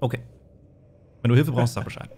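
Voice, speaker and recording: A man's voice: medium pitch, steady tone, a little fast, warm tone. A man acting as game master, patiently explaining tabletop game rules step by step and narrating.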